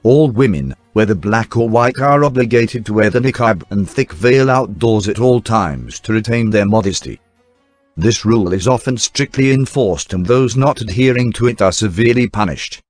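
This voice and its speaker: Low-pitched man narrating a newsreel documentary. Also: expressive, moderate pace, a little mellow, no room sound. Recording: dry voice-over with faint music bed. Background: faint background music.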